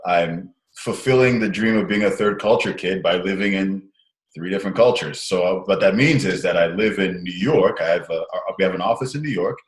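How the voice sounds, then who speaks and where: Deep-voiced man, echoey room. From Nigeria and Canada, raised partly in the US. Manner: rambling, restarts, animated when telling stories. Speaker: guest, social impact entrepreneur. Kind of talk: casual interview over a call. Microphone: call recording.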